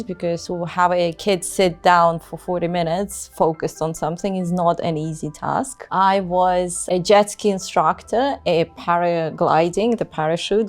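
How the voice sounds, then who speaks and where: A woman from Russia with a Russian accent, telling her own story as an interview guest in an expressive, self-assured, emphatic way. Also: on the low side. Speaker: interview guest, wine expert.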